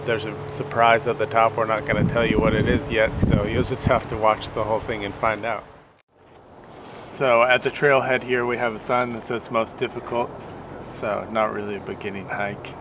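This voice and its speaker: A man's voice: fairly low pitch, little pitch movement, moderate pace. A young man co-hosting a hiking vlog, chatting and joking on a steep outdoor trail. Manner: joking, upbeat. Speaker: co-host. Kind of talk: casual hiking vlog chat. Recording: noisy outdoor camera audio.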